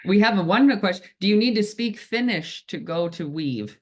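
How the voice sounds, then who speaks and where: A man's voice: sits high, animated, moderate pace. A man hosting an online Q&A over a video call, effusive and full of thanks and praise for his guest. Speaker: host, moderator. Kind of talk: moderating a webinar Q&A.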